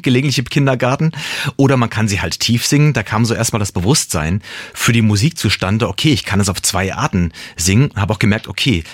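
A man's voice at a middle pitch, recorded close and dry with no room sound. He is a singer-songwriter and native German speaker, musing over his path in music. He rambles thoughtfully and restarts his sentences often. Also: no echo. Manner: reflective, rambling with restarts and laughs. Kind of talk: casual interview talk.

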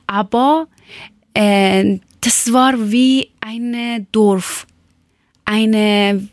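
A woman from Iran, speaking German as a learned language, tells her story haltingly and searches for words with many fillers. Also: fairly high pitch, steady tone, no echo, clean studio sound. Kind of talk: personal account.

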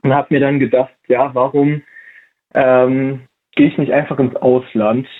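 A man's voice: medium pitch, little pitch movement, a little slow. Young man on a tinny line, hesitant. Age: 20s. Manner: hesitant, many fillers and restarts. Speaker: interview guest, volunteer at a museum. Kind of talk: casual interview answers.

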